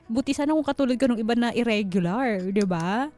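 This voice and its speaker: A woman's voice: a little high, expressive, a little fast, a little mellow. A woman hosting a radio call-in advice show, chatting casually in a playful, teasing, giggly way. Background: faint music.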